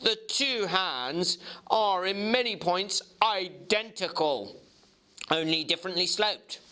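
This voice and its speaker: A man's voice: high voice, slow, sharp tone, pitched above the performer's own voice, full character voice. A man playing a polite, observant Victorian clerk in a literary dramatic reading, speaking carefully and deferentially. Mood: cautious.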